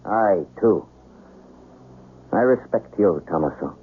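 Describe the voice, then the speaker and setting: An actor playing a melancholy, lovesick young samurai's son in a 1970s radio ghost tale, speaking formally and languidly. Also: male voice, sits low, lively intonation, slow pace. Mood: weary, brooding. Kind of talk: 1970s radio drama acting. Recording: noisy, tinny 1970s radio broadcast.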